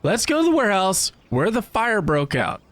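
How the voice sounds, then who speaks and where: A man doing casual gameplay reaction commentary in an expressive, wry, colloquial way. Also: sits high, normal speed. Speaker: streamer reacting to a game. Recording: faint game music behind.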